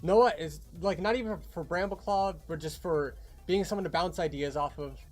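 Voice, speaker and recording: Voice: mid-range voice, moderate pace. A young adult hosting a casual livestream about a fan animation project, talking in an informal way. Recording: music behind the voice.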